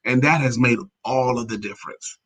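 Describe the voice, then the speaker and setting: A middle-aged American man speaks as co-host of an informal talk show. His voice sits at a medium pitch for a man. He moves between conversational talk and reading viewers' comments aloud. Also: moderate pace, a bit bright.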